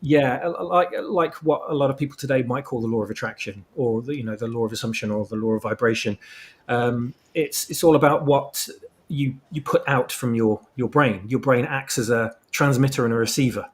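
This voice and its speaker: A man's voice: mid-range voice, brisk. A man in a casual discussion, explaining things at length as a guest author, often repeating words as he goes. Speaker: fan fiction author and podcast guest. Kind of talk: casual discussion of story lore.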